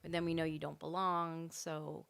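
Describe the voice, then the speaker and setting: Low-voiced veteran teacher woman. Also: level tone, measured pace, creaky, a bit bright. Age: older. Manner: matter-of-fact, explanatory. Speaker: schoolteacher guest. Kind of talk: casual interview chat.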